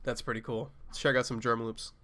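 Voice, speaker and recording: Stuffy-nosed male reviewer, laid-back, mid-pitched, quick reactions, music playing behind. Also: little pitch movement. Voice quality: nasal. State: sick with a cold. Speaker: sample pack reviewer. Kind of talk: casual review commentary.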